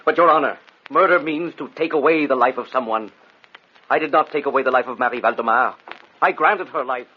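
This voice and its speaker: An actor playing a proud, disgraced physician obsessed with mesmerism, orating theatrically in an old radio drama style. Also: male voice, mid-range voice, brisk, slightly rough, natural voice. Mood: impassioned defense. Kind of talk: vintage radio drama acting. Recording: dull old radio recording.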